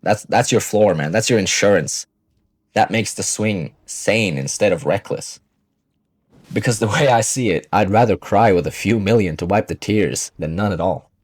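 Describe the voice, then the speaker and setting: A man's voice: fairly low pitch, moderate pace, no room sound. A man in his early twenties giving a casual, blunt motivational monologue to viewers, assertive and swearing freely, on a close microphone. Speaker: finance vlogger.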